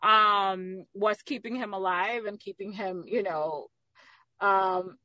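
A woman's voice: mid-range voice, steady tone, slow pace, sharp tone, no echo, rough recording. A middle-aged woman from the Dominican Republic talking candidly and at length as a guest in a conversational interview. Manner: talkative, candid. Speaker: interview guest, magazine owner and entrepreneur.

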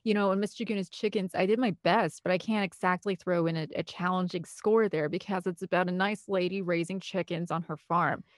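Female interview guest, creative professional. Lower-than-average pitch, conversational, explanatory, recorded with no room sound. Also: fairly even intonation, a little fast, few pauses, no room sound, clean studio sound. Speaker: guest, film composer and director.

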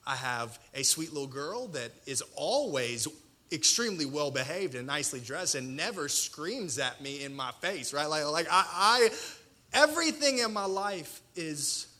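A man in his thirties preaching a personal testimony in a colloquial, self-deprecating way, with dramatic pauses and a slight stutter. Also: a little high, very animated, pushed and bright. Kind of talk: personal testimony sermon to an audience.

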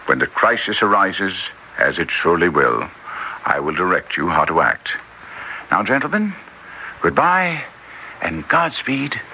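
A man playing a shrewd, commanding detective in a radio drama, speaking in a deliberate, formal, authoritative way. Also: low voice, very animated, full character voice. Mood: brisk, probing. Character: commanding, shrewd male detective giving orders. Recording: noisy vintage radio drama recording.

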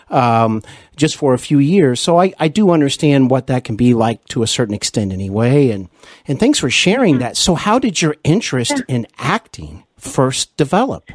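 A middle-aged American man hosting a conversational interview, agreeing often and phrasing things haltingly. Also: medium pitch, very animated, no echo, clean studio sound. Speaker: interview show host.

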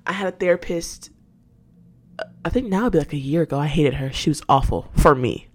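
A woman's voice: deep voice, brisk. A nineteen-year-old woman chatting candidly on her own podcast, laughing easily and often trailing off and starting sentences over. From Atlanta, Georgia. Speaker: podcast host. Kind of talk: casual solo podcast chat.

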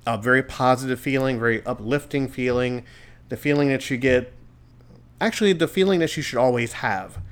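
A man's voice: mid-range voice, fairly quick. Earnest man hosting a solo podcast. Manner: earnest, encouraging, radio-DJ delivery. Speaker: podcast host. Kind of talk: casual solo podcast monologue. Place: home studio.

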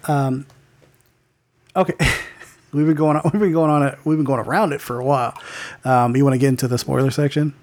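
A man's voice: medium pitch, steady tone, brisk, pauses often. A man co-hosting a podcast in casual chat, laid-back and slangy, with lots of short agreeing sounds. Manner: laid-back, slangy, frequent backchannel and laughs. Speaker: podcast co-host.

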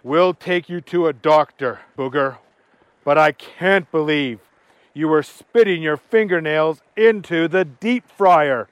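A stern, exasperated middle-aged fast-food manager who scolds in a measured, slow way, as a man plays him in a storybook. His voice sits fairly high and the recording is dry. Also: smooth, dry recording. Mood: calm.

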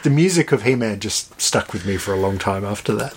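A man co-hosting a podcast, telling stories in a quick, animated, casual way. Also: fairly low pitch, a little hiss or hum. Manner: quick, animated storytelling with laughs. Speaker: podcast co-host. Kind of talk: casual chat.

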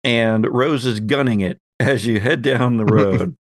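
A man runs a tabletop role-playing game. He narrates off the cuff in descriptive detail, in a mid-pitched voice. The sound is close and dry. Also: measured pace, no room sound. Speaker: game master, host.

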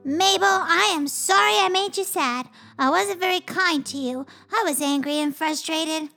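A performer voicing a young boy pig in a children's show, slowly sounding out letters while he learns to read. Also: extremely high pitch, pushed and bright, soft background music, heavily acted. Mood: apologetic. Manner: slow, sounding out letters one by one. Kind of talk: children's educational puppet show.

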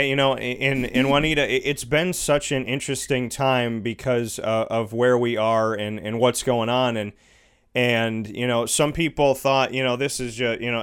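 Smooth-voiced male interview host. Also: medium pitch, fairly bright tone. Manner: rambling, heavy on "you know" fillers. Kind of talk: casual interview hosting.

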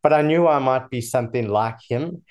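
A man's voice: mid-range voice, brisk, a little mellow. A man in his sixties with a slightly mixed South African accent, telling his life story as an interview guest in a hesitant, reflective way. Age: 60s.

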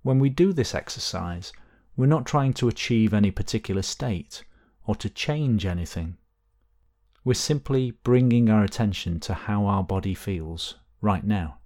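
A man leading a guided body scan meditation, giving gentle, unhurried instructions with long pauses between phrases. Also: fairly low pitch, expressive.

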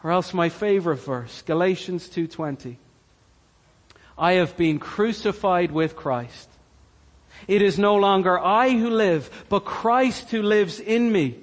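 A man's voice: high-pitched, slow pace, long reverb tail. A man from Cork with a Cork Irish accent, preaching a sermon earnestly and repeating key lines for emphasis. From Ireland, Cork. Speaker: pastor.

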